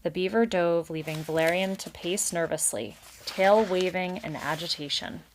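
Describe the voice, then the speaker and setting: A woman's voice: fairly low pitch, normal speed, a little hiss or hum, rough recording. Woman reading a children's story aloud. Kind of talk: expressive read-aloud narration with brief recap.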